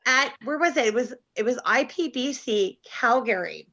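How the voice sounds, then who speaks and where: A woman hosts a relaxed group chat over a video call, talking at length and laughing easily. Her voice is bright and forceful. The call audio is a little dull and muffled. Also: on the high side, normal speed. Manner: chatty, laughs often. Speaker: host.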